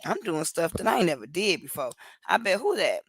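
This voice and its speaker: A woman from Cleveland co-hosting a casual livestream chat from home over a video call, animated and laughing often. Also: on the low side, a little fast, vocal fry, breathy edge, no echo, studio quality. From Cleveland, Ohio. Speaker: co-host.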